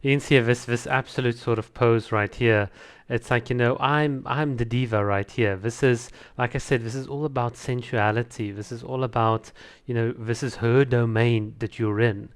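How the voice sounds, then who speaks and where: A man's voice: medium pitch, steady tone, moderate pace, slight background noise. A man reviewing a video in casual commentary, repeating words for emphasis.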